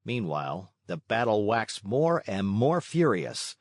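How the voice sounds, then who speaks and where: A male storybook narrator reads for children with a medium pitch and lots of melodic movement. The delivery is expressive and dramatic, and the recording is dry. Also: takes it slowly, dry recording.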